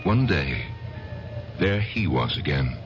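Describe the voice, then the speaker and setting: An actor playing an insecure, besotted husband who recounts his past in a halting, self-deprecating, dramatic old-time radio style. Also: very deep voice, sing-song, full character voice. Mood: wistful. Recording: dull lo-fi old radio broadcast, music behind.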